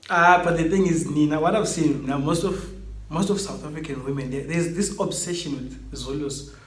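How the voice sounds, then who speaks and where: A man's voice: a little high, normal speed. A young adult man from Zimbabwe with a Zimbabwean accent, a native Ndebele speaker, chatting casually as a guest and laughing easily. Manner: easygoing, laughs often. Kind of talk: casual chat. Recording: echoey room, faint background noise.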